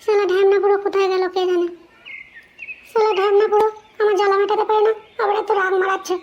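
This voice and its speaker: A flirty, grumbling cartoon wife with an extremely high, squeaky and monotone delivery. She talks very quickly, and the lo-fi recording is dull. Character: shrill, flirty, dissatisfied wife in a comedy cartoon. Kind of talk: amateur comedy cartoon dialogue. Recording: dull lo-fi audio with faint noise.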